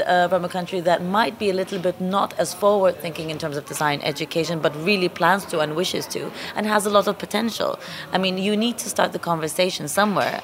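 Woman, low pitch, earnest, thoughtful, interview answers, faint background noise. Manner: earnest, thoughtful, building arguments at length. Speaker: design week director.

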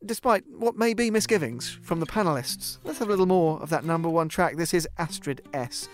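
A man's voice: on the high side, lively intonation, fairly quick, soft background music. A man hosting a radio panel discussion in a studio, with a dry, wry, teasing manner. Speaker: radio programme host.

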